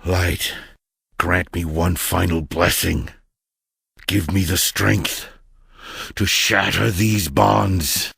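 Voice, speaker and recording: An actor playing a righteous, fierce holy warrior in a video game, declaiming with raspy, impassioned resolve. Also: male voice, sits low, fairly even intonation, very slow, pauses often, a bit bright, no room sound, clean studio sound, exaggerated voice. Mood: pleading. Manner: impassioned, declamatory battle delivery. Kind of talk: dramatic video game acting.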